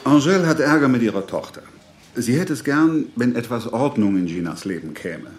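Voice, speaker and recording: A polite, meddling townsman acted by a man speaks with animated intonation at medium pitch. It is radio drama, with a roomy echo around the voice. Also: normal speed, lightly acted. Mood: polite, persuasive. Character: polite, meddling male townsman acting as go-between. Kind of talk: radio play acting.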